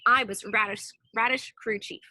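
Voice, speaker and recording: A woman reading a children's story aloud in an expressive narrating style. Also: fairly high pitch, moderate pace, no room sound, clean studio sound. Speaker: storybook reader.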